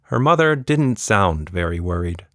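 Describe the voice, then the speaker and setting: Playful man with a lowish, dry voice. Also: big pitch swings, a little slow, a bit bright, no echo. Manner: playful, conspiratorial, addresses children directly. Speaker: host, author and storyteller. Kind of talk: children's storytelling podcast.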